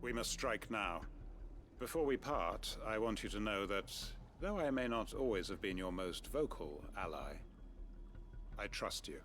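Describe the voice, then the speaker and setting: A man's voice: fairly low pitch, expressive, fairly bright tone, slight background noise. A solemn older nobleman. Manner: formal, solemn, measured. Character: grave older nobleman, formal loyal ally. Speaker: game character.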